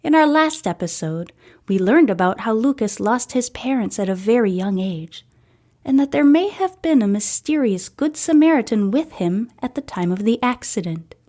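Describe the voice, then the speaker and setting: A woman's voice: a little high, lively intonation, normal speed, a little mellow. A woman hosting and narrating a scripted story, reading a book aloud.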